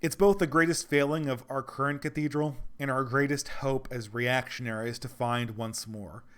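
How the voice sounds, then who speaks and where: Hoarse man rambling on a livestream. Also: mid-range voice, fairly even intonation, normal speed, a bit bright. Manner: rambling, earnest, thinks aloud. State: losing his voice. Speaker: livestream host reading donations. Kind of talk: casual livestream Q&A.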